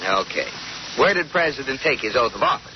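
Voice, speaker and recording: An actor playing an exasperated, jealous but fond husband in old-time radio sitcom style, with wry comic delivery. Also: male voice, medium pitch, animated, brisk, lightly acted. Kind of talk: 1940s-50s radio sitcom acting. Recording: noisy, muffled old radio broadcast recording.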